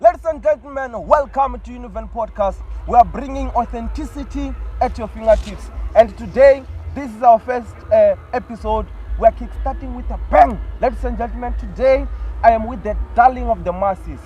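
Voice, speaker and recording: A man's voice: very high voice, normal speed, mellow, muffled recording. A man hosting a podcast interview, formal and polite, addressing his guest by title. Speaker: podcast host.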